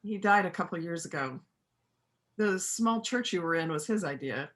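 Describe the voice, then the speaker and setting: A woman performing a reader's theater part as a gentle but firm pacifist country vet, earnest and plain-spoken. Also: fairly low pitch, animated, moderate pace, close to an everyday voice. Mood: reflective. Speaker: amateur church play performer.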